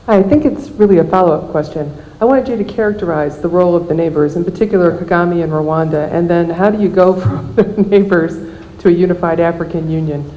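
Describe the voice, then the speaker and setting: A woman's voice: on the low side, fairly quick. A woman in the audience politely asking the speaker a follow-up question. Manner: polite, inquiring. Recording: echoey, muffled room recording.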